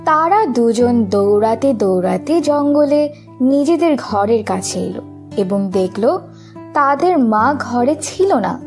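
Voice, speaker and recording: A woman's voice: fairly high pitch, expressive, takes it slowly, darkish tone, soft background music. A woman narrating a scripted fairy tale for children.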